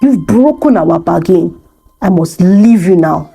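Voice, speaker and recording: Woman voicing a solemn, unhurried mermaid bride. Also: lowish voice, animated, mellow, slightly rough, soft background music, voice pushed down, exaggerated voice. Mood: hurt, solemn. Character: female mermaid bride, solemn, magical, proud.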